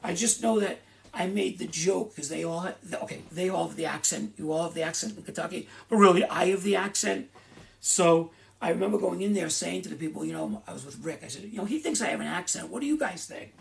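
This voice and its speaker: Chatty man with a gravelly voice. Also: sits high, brisk. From Rhode Island. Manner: chatty, rambling with frequent restarts and asides. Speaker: host of a live Q and A. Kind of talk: rambling casual chat.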